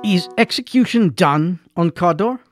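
A man's voice: sits high, lively intonation, measured pace, over music. An actor playing a gracious, affectionate king in a Shakespearean radio drama, with an effusive, regal manner. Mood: expectant.

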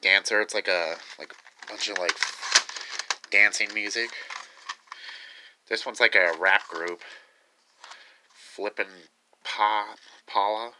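A young man of about twenty with a low voice talks in a casual, unscripted way. His delivery is offhand and halting, as if showing his things to the camera. It is recorded on a handheld phone with clear background noise. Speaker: video maker showing a collection. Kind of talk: casual unscripted show-and-tell. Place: small cluttered room at home. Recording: noisy phone recording with clicks.

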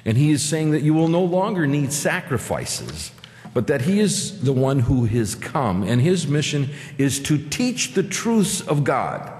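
A man's voice: medium pitch, a little mellow. A man in his fifties with an Upper Midwest American accent, preaching a conversational sermon from a pulpit mic and asking the congregation questions. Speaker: pastor. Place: church sanctuary, at a pulpit. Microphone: pulpit microphone. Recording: church hall reverb.

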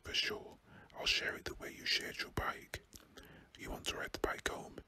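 A man's voice: normal speed, lightly acted. A man voicing a stubborn, eager young boy from a storybook in a casual whisper. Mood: teasing.

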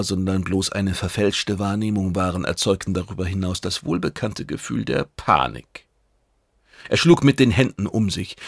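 A man's voice: sits low, creaky, breathy edge, dry recording, clean studio sound. A man reading a science fiction novel aloud as scripted dramatic narration. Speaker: audiobook narrator.

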